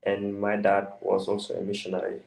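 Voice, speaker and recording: Young guy from the gambia, low gravelly voice, keeps restarting his sentences. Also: monotone, fairly quick, darkish tone, low-quality recording. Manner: hesitant, many restarts and fillers. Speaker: interview guest. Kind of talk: casual interview answers.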